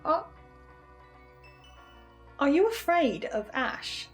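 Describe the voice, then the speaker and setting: Anxious, awkward archivist, female performer, horror roleplay: high voice, slow halting delivery, stammers, music underneath. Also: sing-song, full character voice. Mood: wary. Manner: hesitant, stammering, self-interrupting. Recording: music behind the voice.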